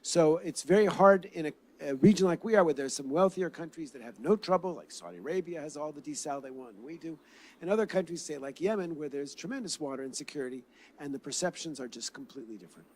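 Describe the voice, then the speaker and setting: A man speaking loudly as a panelist at a symposium, answering at length and thinking aloud with fillers. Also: fairly high pitch, animated, quick pace.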